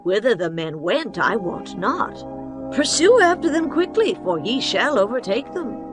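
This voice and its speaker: A woman's voice: a little high, sing-song, measured pace, music in the background. A woman voicing an earnest, clever innkeeper woman pleading in a dramatized scripture reading.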